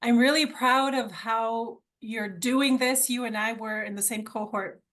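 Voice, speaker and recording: A woman's voice: a little high, unhurried, a bit bright. A middle-aged woman originally from Argentina, telling her story as a podcast guest in an enthusiastic, conversational way, often repeating words as she restarts.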